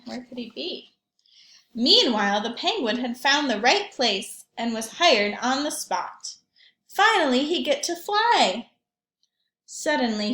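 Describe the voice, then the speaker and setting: A woman teacher reading aloud and talking to young children in an expressive storytelling manner, with questions and asides. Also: on the high side, reverberant. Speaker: primary school teacher reading aloud to pupils.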